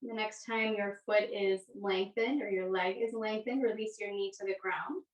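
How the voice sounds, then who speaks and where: An echoey room surrounds this woman's voice, which sits some way from the microphone. She has a medium pitch and instructs a yoga class with gentle, unhurried cues, leaving space between phrases. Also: fairly even intonation, distant mic.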